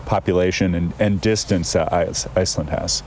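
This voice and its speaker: Deep-voiced male guest, rambling storyteller recorded outdoors, faint traffic behind him. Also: steady tone, normal speed, a little mellow. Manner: thoughtful, digressive storyteller with frequent fillers. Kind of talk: casual conversation. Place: outdoors in a town.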